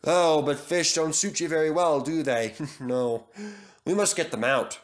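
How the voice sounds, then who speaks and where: A man voicing a jovial but firm older gentleman who runs a railway in a children's storybook. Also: on the high side, unhurried, natural voice. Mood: amused.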